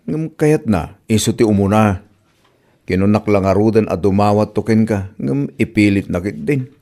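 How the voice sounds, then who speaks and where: Man acting lively, exasperated Ilocano scolder. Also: on the low side, moderate pace. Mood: apologetic, explaining. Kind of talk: Ilocano comedy drama acting.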